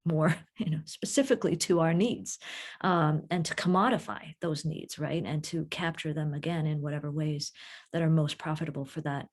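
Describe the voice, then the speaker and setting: A woman with a fairly low voice, speaking as a guest lecturer in an academic Q&A. She answers questions emphatically and often restarts her sentences as she works through a point. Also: fairly even intonation, normal speed. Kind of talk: academic Q&A discussion.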